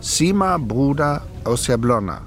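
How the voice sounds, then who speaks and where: A man's voice: medium pitch, expressive, measured pace, close to an everyday voice. An actor playing an older man who reflectively recalls his childhood in a German radio play. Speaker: eyewitness narrator in a radio documentary drama.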